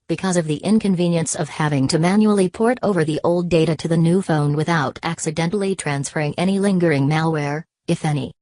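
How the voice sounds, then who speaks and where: A woman narrates a news story in a steady, matter-of-fact way. Her voice sits deep for a woman. The recording is dry and close, with no room around it. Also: no room sound.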